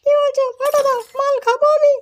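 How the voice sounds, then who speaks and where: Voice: very high-pitched, level tone, fast, a crowd in the background. A performer voicing a small, blunt, anxious child in an amateur comedy cartoon.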